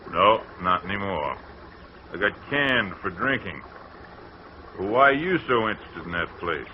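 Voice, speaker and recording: A man playing a hulking, surly farmhand in a 1940s radio drama, gruff and menacing, on a muffled old recording. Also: fairly low pitch, sing-song, unhurried, noisy background. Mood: threatening.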